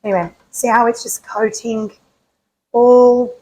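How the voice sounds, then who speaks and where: A woman with a croaky voice hosting a home cooking show, chatting casually and answering her own questions as she cooks. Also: a little high, steady tone, unhurried, a little mellow, poor audio. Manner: chatty, asks herself questions and answers them.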